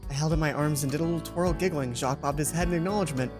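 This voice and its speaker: A woman's voice: low-pitched, rapid-fire. A woman hosting a let's play, reading game narration aloud with casual asides. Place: closed hot room at home. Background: music.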